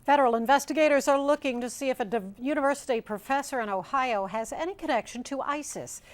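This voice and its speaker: A woman's voice: high voice, fast talker. A woman with an American accent reading scripted news as a television anchor.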